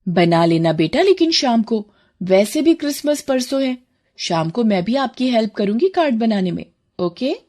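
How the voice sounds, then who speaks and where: A woman's voice: on the high side, very animated, normal speed, dark tone. A woman playing a caring, practical mother who coaxes and reassures in a children's cartoon. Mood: coaxing. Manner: coaxing, reassuring.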